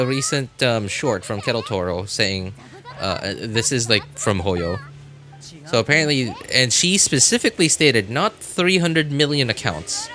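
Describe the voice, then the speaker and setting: A man's voice: mid-range voice, pushed and bright. A man livestreaming games, chatting casually with viewers in a rambling, hesitant way, often backtracking and hedging. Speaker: game livestreamer.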